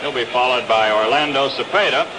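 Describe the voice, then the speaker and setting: A man's voice: medium pitch, brisk, noisy background. An American man calling baseball play-by-play in the style of a 1960s radio broadcast, recorded outdoors at a ballpark. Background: loud stadium ambience.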